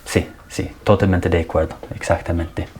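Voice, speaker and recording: Man, slightly low voice, English speaker, casual interviewer. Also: little pitch movement, moderate pace, darkish tone, a little hiss or hum. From Canada.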